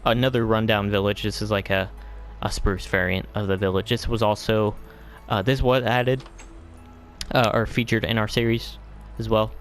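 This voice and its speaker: A man's voice: on the low side, fairly even intonation, normal speed, soft background music. A man giving a casual, rambling game tour as video host, hesitant and often trailing off into vague asides.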